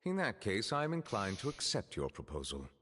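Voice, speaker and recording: A stately, reserved man of authority in a game. His voice is deep yet forceful and lively in pitch, ringing with long reverb. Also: moderate pace, slight background noise. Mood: grave, reserved. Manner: formal, stately. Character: grave, dignified male authority, formal and reserved. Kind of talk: video game voice acting.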